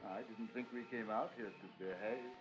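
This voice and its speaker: An actor playing a suave, pushy seducer in an insinuating 1950s radio style. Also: male voice, on the low side, steady tone, normal speed, highs cut off, close to an everyday voice. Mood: sly, seductive.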